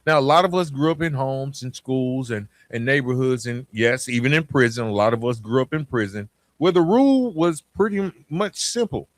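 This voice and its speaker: A man's voice: medium pitch, animated, normal speed, hardly any pauses, no room sound. A man hosting a podcast, talking conversationally and motivationally in a reflective, emphatic way, often checking in with the listener. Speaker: podcast host.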